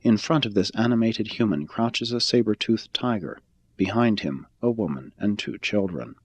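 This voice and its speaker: A man reading a religious text aloud as scripted narration, in a measured, formal and solemn manner with deliberate phrasing. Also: fairly low pitch.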